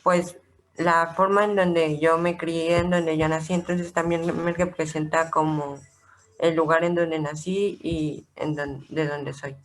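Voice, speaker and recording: A man's voice: fairly high pitch, flat delivery, a little slow, rough recording. A teenage boy from Mexico City with a central Mexican accent, answering hesitantly in an online class over a video call mic. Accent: central Mexican Spanish. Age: teens. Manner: hesitant, many fillers. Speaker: student. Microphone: computer mic on a video call.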